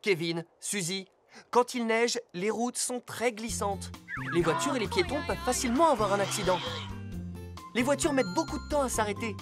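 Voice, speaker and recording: A man voicing an earnest, caring young rescue police car, giving clear safety instruction in a children's cartoon. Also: high voice, normal speed, over music, natural voice. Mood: earnest, instructive. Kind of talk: French children's cartoon dub, safety lesson.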